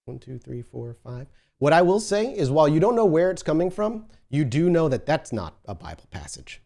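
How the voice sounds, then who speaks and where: A man's voice: fairly high pitch, sing-song, normal speed, gravelly, mellow. A man running a tabletop role-playing game as storyteller, narrating scenes and answering players, often restarting his sentences. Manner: descriptive, restarts mid-sentence.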